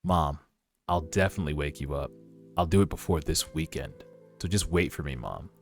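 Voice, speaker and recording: A man voicing a reincarnated young male sorcerer, determined and calculating, in a measured, earnest webtoon monologue. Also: rumbling low, pitched below the performer's own voice. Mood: grim resolve. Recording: music under the voice.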